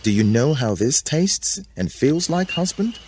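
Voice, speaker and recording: A man's voice: on the high side, big pitch swings, unhurried, a little mellow, slight background noise, pitched above the performer's own voice. A man voicing a seductive, daring woman in a coy, coaxing manner for a dramatic story. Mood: seductive, bold. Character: Eve, seductive, daring first woman, newly altered.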